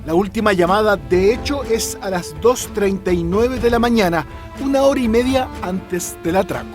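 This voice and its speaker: A Chilean man narrating a television news report in a measured, dramatic scripted voice-over. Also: high-pitched. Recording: voice-over with music bed.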